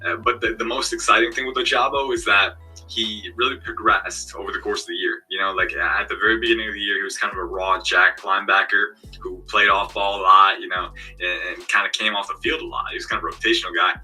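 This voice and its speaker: Low-voiced guy breaking down players, confident, bit of music under it. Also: steady tone, fast, bright, forceful tone, husky, rough recording. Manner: confident, analytical, explains in long runs. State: parched throat. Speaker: guest football analyst. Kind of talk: casual chat.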